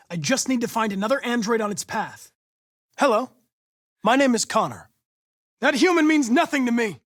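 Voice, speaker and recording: A man with an American accent playing a polite, determined young android police investigator in a video game, speaking in a precise, formal, measured way. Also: extremely high pitch, a bit bright. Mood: earnest, probing, then tense, forceful. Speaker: actor voicing a video game character.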